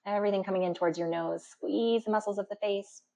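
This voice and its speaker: A woman instructor guiding a deep relaxation meditation in a calm, instructional manner with slow pauses. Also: gravelly. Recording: dull, muffled lo-fi sound.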